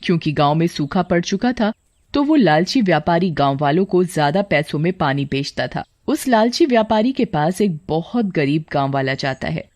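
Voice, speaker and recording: A woman's voice: on the low side, lively intonation, moderate pace, darkish tone, dry recording. A woman narrating a scripted moral tale as storyteller.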